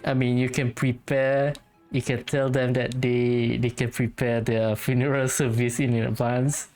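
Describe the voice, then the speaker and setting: Casting: female gamer commentator, very deep voice, casual playthrough chat, faint music behind. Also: little pitch movement, unhurried, a little mellow. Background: game music.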